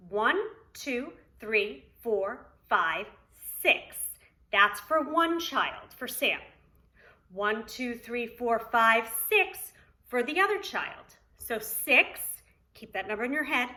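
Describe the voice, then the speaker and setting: A woman preschool teacher reading aloud to young children, speaking expressively with deliberate enunciation and explaining as she goes. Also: a little high, very animated, bright, forceful tone, low-quality recording. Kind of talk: read-aloud for young children with counting lesson.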